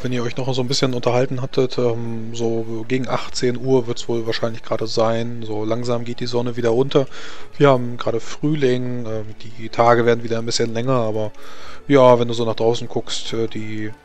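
A man's voice: medium pitch, level tone, fairly quick. A man working as game master, casually improvising a description of the scene.

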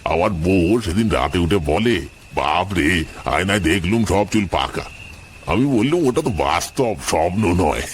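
A man's voice: low-pitched, animated, a little slow, a little hiss or hum, voice pushed up. A man voicing a smirking village tea-shop joker, teasing and sarcastic, in an audio story. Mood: mocking. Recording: music under the voice.

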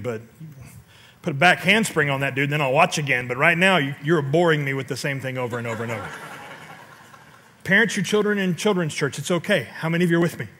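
Bright-voiced animated male pastor. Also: on the high side. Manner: animated storytelling with sudden loud outbursts and audience questions. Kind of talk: sermon. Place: large church stage.

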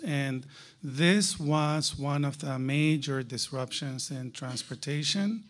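A man speaks as a keynote presenter at a very measured, unhurried pace. His voice sits a little higher than most men's. He addresses his audience directly and emphatically, leaning on rhetorical questions and repeating the phrases that matter. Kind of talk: conference presentation to a live audience.

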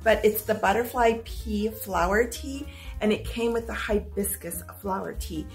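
Middle-aged woman, slightly hoarse voice, chatty vlog talk, small home room, faint music behind. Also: mid-range voice, measured pace, rough recording. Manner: chatty and upbeat, clears her throat now and then. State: slightly hoarse, losing her voice. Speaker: vlogger. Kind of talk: casual unboxing vlog. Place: small home room with stacked boxes, indoors.

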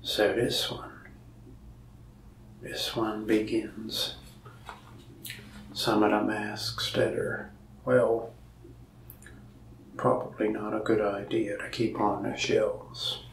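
A young man in a soft-spoken ASMR roleplay as a shop salesman, whispering and rambling in a folksy storytelling way. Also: lowish voice, level tone, slow, long pauses, echoey room, far from the mic, a little hiss or hum, low-quality recording.

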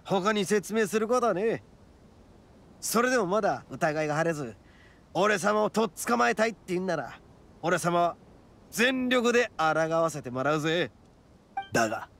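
An actor playing a brash, proud young male oni gang boss in a video game, with rough, cocky, emphatic speech. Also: on the high side, fairly bright tone. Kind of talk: dramatic video game voice acting.

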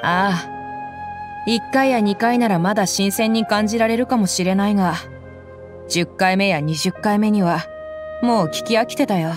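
An actress voicing a tough, blunt young female mercenary in a game, speaking in a rough, casual, relaxed way. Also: mid-range voice, moderate pace, over music, natural voice.

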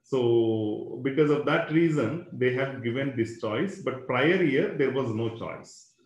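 A man's voice: mid-range voice, lively intonation, slow, echoey room, low-quality recording. A man, a school educator explaining exams in an online webinar, talking into a computer microphone with many fillers and a habitual "right?".

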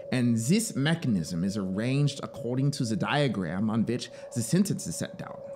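A man's voice: lowish voice, noisy background. A man voicing a zealous, proud penal colony officer in an audiobook, emphatic, fervent and commanding.

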